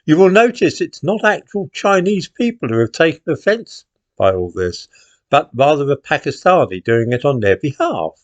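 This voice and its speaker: A man's voice: a little high, very animated, normal speed, dry recording, dull audio. An older man with an English accent delivering an opinion monologue in a sardonic, indignant manner, leaning on rhetorical questions. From England. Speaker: commentator, host.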